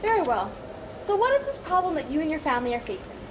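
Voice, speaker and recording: A woman's voice: sits high, big pitch swings, quick pace. A woman playing a polite news host in a scripted puppet show, with formal, recited delivery. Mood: courteous. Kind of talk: scripted mock newscast. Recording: noisy amateur room recording.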